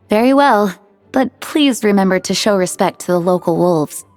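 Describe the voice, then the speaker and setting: Composed, courteous knight leader. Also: female voice, medium pitch, lively intonation, takes it slowly, darkish tone, dry recording, soft background music. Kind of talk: game voice acting.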